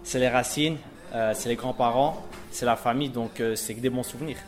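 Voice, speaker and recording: A man's voice: medium pitch, fairly even intonation, brisk, faint music behind. Hesitant young man in a street interview. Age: young adult. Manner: brief, hesitant answers. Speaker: student interviewee.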